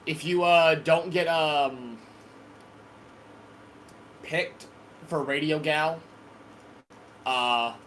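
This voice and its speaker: A man's voice: fairly high pitch, fairly even intonation, drawn-out words, long pauses, fairly bright tone, slight background noise. Hesitant man hosting a live call. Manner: hesitant, repetitive, friendly. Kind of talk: casual live-stream talk.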